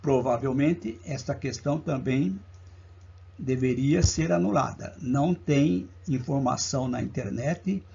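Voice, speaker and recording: Brazilian math teacher going slow, husky gravelly voice, dull lo-fi sound. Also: male voice, medium pitch, warm tone. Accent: Brazilian Portuguese. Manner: slow, deliberate, counts steps aloud. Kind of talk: exam-prep lesson walkthrough.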